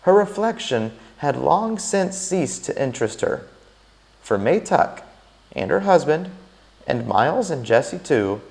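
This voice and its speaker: A man narrating a chapter of a novel aloud in an expressive, unhurried read-aloud style. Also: a little high, vocal fry. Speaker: teacher reading a novel aloud.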